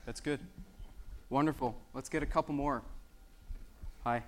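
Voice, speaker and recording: A man's voice: medium pitch, fairly even intonation, a little slow. Brief: male youth leader, easygoing and friendly with kids, mid-range voice, shifts to prayer. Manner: friendly, chatty with children, then solemn in prayer. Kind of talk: church talk and prayer.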